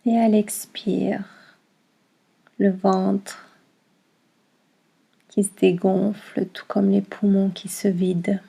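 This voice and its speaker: A woman teaching yoga nidra, guiding a meditation in a calm, soothing manner with long pauses between short instructions. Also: medium pitch, gravelly, slightly rough. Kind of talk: guided meditation, soft instruction.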